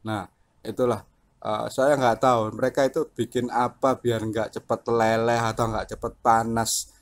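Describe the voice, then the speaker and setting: A man's voice: mid-range voice, flat delivery, moderate pace. A man chatting casually about technical matters as an enthusiastic radio hobbyist.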